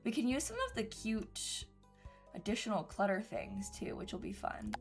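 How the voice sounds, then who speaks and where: A woman's voice: medium pitch, expressive, moderate pace, gravelly, soft background music. A young woman streaming a building game from home, sounding congested from a cold and thinking aloud with lots of ums and little giggles. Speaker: gaming streamer. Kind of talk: casual live-stream chat while building in a game.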